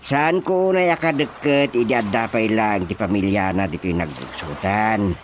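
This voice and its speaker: A man acting a sheepish, excitable, defensive husband in an Ilocano comedy radio drama. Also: on the low side, a little slow, slight background noise, close to an everyday voice.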